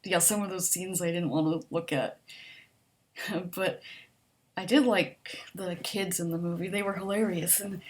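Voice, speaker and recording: A soft-spoken woman talks casually through a list of questions, pausing often with ums and likes. Her voice is quiet, and a mild stutter catches on a word now and then. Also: lowish voice, steady tone. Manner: hesitant, with frequent ums and likes, laughs softly. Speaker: vlogger answering a survey. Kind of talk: casual chat.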